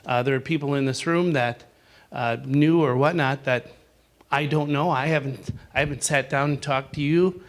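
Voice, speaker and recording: A man's voice: mid-range voice, fairly even intonation, normal speed. A man leading a campground owners' discussion in a meeting room, casual and rambling, with many fillers and restarts. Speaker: discussion group leader.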